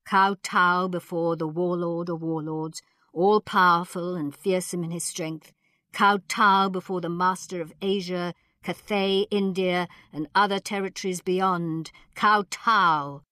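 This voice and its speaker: A woman's voice: lowish voice, fairly even intonation, a little slow, sharp tone, dry recording, clean studio sound. A woman voicing a pompous male court secretary in a storybook, declaiming commands with reverent self-importance. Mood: commanding, declamatory.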